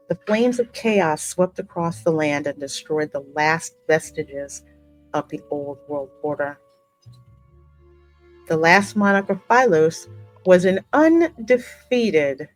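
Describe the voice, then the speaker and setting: A woman hosting a game playthrough, reading the story aloud as the player character in an expressive, measured, dramatic way. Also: lowish voice, poor audio. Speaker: gaming host voicing the player character. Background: musical game soundtrack.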